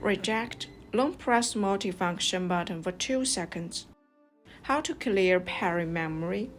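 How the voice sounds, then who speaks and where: A woman's voice: medium pitch, measured pace. A woman narrating a scripted product review step by step as the reviewer. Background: music.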